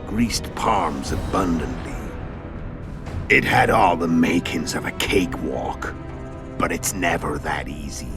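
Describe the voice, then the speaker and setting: An actor playing a world-weary, cunning male assassin in a game cutscene, gravelly and measured, recounting things with dry irony. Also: full character voice. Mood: matter-of-fact, confiding. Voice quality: very deep, gravelly. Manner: measured, matter-of-fact storytelling with dry irony. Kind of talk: video game cutscene acting. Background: background music.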